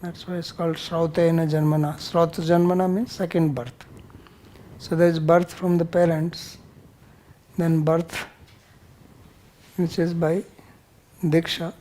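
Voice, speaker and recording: A man's voice: a little high, flat delivery, measured pace, pauses often, dark tone, slight background noise, rough recording. A man teaching scripture as a religious teacher, bluntly correcting and explaining Sanskrit terms word by word. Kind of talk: verse-by-verse scripture teaching with questions and answers.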